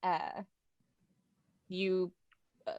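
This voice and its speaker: A woman chatting casually in a giggly, excitable and self-deprecating way, as a fan guest on a talk show. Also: lowish voice, drawn-out words, clean tone, no room sound, highs cut off. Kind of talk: casual chat.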